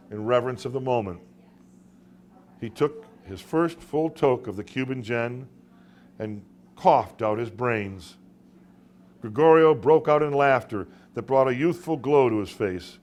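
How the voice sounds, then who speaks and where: Male storyteller, mid-pitched voice, literary live reading, dramatic pauses, small stumbles, light background noise. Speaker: author reading own short stories.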